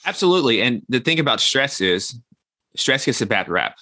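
A man's voice: medium pitch, sing-song, normal speed, bright, forceful tone, dry recording. A man in his thirties, a guest in an interview, talking reflectively and explaining ideas through everyday comparisons like gardening and baking. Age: 30s. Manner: reflective, uses everyday analogies. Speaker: guest, health consultant. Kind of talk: conversational interview.

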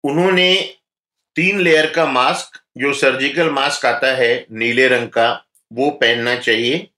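A man speaking as a doctor, giving calm, instructive health advice in an interview. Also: medium pitch, unhurried, echoey room.

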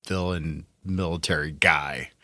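Man, podcast co-host, bright edgy tone, casual talk, very dry sound. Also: extremely low pitch, fairly even intonation, unhurried, no room sound. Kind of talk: casual pop-culture chat.

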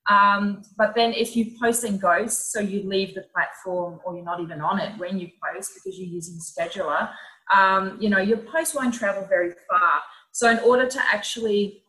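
A woman's voice: mid-range voice, normal speed, pushed and bright, low-quality recording. A woman, a cheerful podcast guest explaining things casually. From Netherlands. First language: Dutch. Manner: chatty, cheerful. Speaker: podcast guest, social media coach. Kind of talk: casual explanatory interview talk.

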